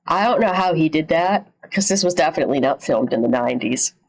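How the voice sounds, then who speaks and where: A woman's voice: sits low, expressive, fast. A woman livestream host giving casual, slangy reaction commentary, repeating phrases and swearing a little.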